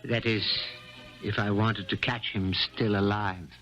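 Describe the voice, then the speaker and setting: An actor playing a rueful, resigned Russian civil servant, narrating reflectively in a 1970s radio drama. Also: male voice, fairly low pitch, steady tone, unhurried, a bit bright. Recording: dull 1970s radio recording, music.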